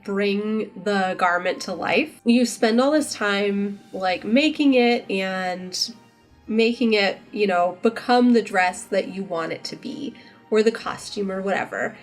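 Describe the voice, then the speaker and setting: A woman's voice: medium pitch, slow pace. Female vlogger, mid-range voice, informal and enthusiastic conversational delivery, light music bed underneath. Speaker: vlogger and costume maker. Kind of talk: casual vlog chat.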